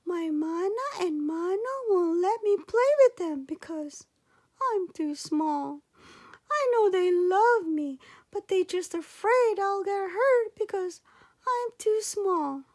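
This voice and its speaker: A woman's voice: very high voice, lively intonation, takes it slowly, a little mellow, voice pushed up, exaggerated voice. A woman voicing a tiny, earnest seven-year-old boy in a storybook, pleading and eager. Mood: wistful, pleading.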